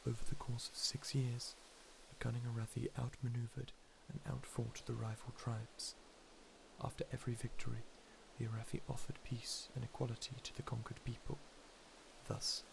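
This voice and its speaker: A man narrating a fantasy story softly, in a measured, soothing ASMR style. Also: medium pitch, creaky. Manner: soft, measured, soothing delivery. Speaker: storyteller and reader. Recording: steady background hiss.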